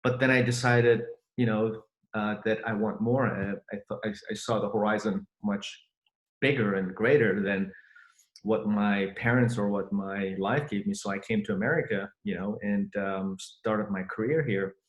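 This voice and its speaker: A middle-aged man from Germany hosts a podcast conversation, speaking earnestly and often pausing on fillers and restarts. His voice sits a little low. The sound carries a noticeable room echo. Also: fairly even intonation, lo-fi sound. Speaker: podcast host. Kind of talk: conversational interview.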